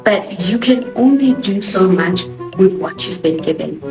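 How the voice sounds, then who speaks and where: A woman's voice: mid-range voice, measured pace. Sassy young woman with Joburg accent. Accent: South African (Johannesburg). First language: Swahili. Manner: expressive, sassy, animated emphasis. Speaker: TV show reviewer, host. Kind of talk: casual opinionated review. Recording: echoey room with music behind.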